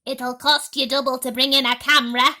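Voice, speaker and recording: A woman voicing a greedy, cruel storybook aunt who yells bossily and snaps. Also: high-pitched, level tone, brisk, voice pushed up. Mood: bossy yelling. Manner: yelling, snappish, bullying. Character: shrieking greedy bossy cruel aunts, middle-aged women.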